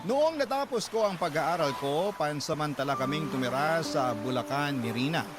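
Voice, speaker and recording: Earnest male narrator over loud music. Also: a little high, animated, moderate pace. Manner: earnest, confessional. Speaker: narrator reading a letter. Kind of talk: dramatic scripted narration. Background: music bed.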